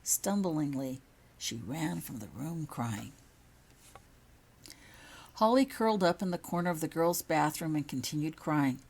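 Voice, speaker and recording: Low, husky woman reading a story aloud. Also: lively intonation, unhurried, long pauses, a little mellow. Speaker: author reading own fan fiction. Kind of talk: scripted storybook narration.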